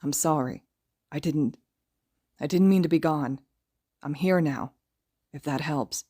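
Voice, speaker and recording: A woman voicing a brooding, scarred young man who mutters low and quiet in a fan audiobook. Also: unhurried, husky. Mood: hushed.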